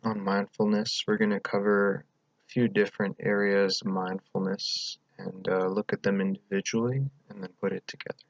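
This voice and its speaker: Low-voiced man guiding a calm meditation. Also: gravelly, no room sound, lo-fi sound. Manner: calm, gentle, unhurried instruction with pauses. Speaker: meditation guide. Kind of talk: guided meditation, unscripted.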